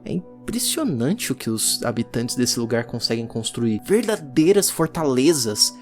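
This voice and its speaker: A performer playing a curious, uneasy 19th-century occult explorer who narrates a journal in a reflective, theatrical way, with deliberate pauses, for an audio drama. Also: mid-range voice, big pitch swings, over music. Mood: reflective, intrigued.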